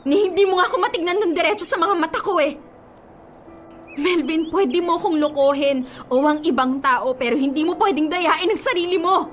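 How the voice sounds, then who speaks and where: A woman's voice: very high voice, moderate pace, slight background noise. An actress playing a heartbroken, clinging young ex-girlfriend with a Filipino accent in an emotional, pleading radio drama style, mixing in English. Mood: insistent, hurt. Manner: emotional, pleading, switches between Tagalog and English. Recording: music bed under the dialogue. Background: music.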